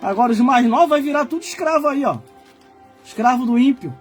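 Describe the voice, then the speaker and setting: A man in his forties preaching with fire, his voice sitting very high as he climbs into shouted warnings and then sinks to low, muttered asides. Soft music plays faintly behind him. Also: lively intonation, brisk. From Brazil. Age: 40s. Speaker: pastor. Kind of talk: fiery Pentecostal-style sermon.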